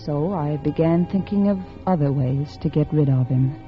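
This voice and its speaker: A woman's voice: low-pitched, normal speed, lightly acted. An actress playing a restless married woman confessing a secret affair in polished, intimate 1940s radio drama style. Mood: confessional, brooding. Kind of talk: 1940s radio drama acting, first-person confessional narration. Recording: dull old radio recording with music.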